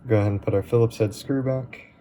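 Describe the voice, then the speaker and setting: A man hosting a relaxed, chatty repair walkthrough, his voice going a bit hoarse as he works. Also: fairly low pitch, quick pace, a little hiss or hum. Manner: relaxed, chatty, with many fillers. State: losing his voice. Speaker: tech repair video host.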